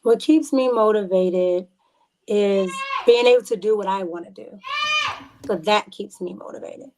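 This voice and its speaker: A woman from the Bronx speaking as a podcast guest, chatting informally and haltingly, often repeating words. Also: medium pitch, noisy background. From the Bronx, New York. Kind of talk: casual interview chat.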